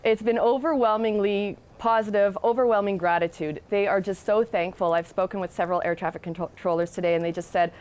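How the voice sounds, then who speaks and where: A woman reporter speaks in a live news report. Her pitch sits in the middle for a woman, and her delivery is conversational, explaining things as she goes. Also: fairly even intonation, fast talker, hardly any pauses, a little mellow.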